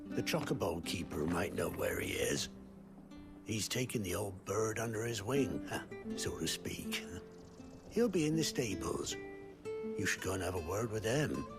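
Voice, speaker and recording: An actor with a British accent playing a chatty, helpful, gravel-voiced villager in a fantasy video game. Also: male voice, on the low side, sing-song, a little slow, music in the background, full character voice. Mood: friendly, helpful. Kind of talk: video game cutscene acting.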